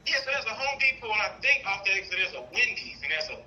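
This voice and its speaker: A man's voice: sits high, sing-song, fast talker, bright, forceful tone, dull audio. A man with an American accent calling in, telling his personal story in a casual, rambling way. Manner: rambling, frequent "you know". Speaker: caller, father seeking custody.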